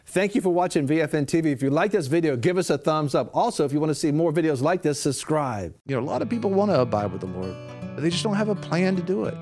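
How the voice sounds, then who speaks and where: A man's voice: a little high, lively intonation, fast, darkish tone, faint music behind. A middle-aged American man hosting a news show and giving commentary in a studio.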